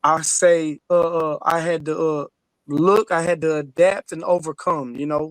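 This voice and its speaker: A man with a fairly high voice shares personal experience in casual interview talk. His delivery is informal and halting, with small check-in tags. The recording is dry. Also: fairly even intonation, dry recording, rough recording. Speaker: podcast guest.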